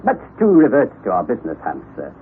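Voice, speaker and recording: An actor playing an eager, excitable older professor in an old-time radio drama, by turns muttering and exclaiming. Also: male voice, lowish voice, fast. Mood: jovial. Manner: hesitant, muttering, then exclaiming. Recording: noisy, tinny vintage radio recording.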